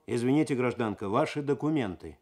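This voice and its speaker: A man's voice: mid-range voice, expressive, brisk, darkish tone, lightly acted. A man voicing a burly, stern fake policeman in a radio story, official and mocking in manner.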